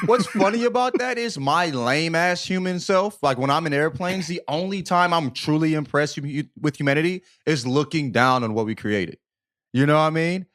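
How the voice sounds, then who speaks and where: A man's voice: on the high side, lively intonation, normal speed, fairly bright tone. A man co-hosting a casual comedy podcast, talking in profane slang and repeating phrases for emphasis. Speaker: podcast co-host.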